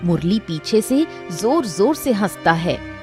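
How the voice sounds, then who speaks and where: A woman's voice: medium pitch, big pitch swings, slow, music in the background. A woman narrating a scripted story as a storyteller.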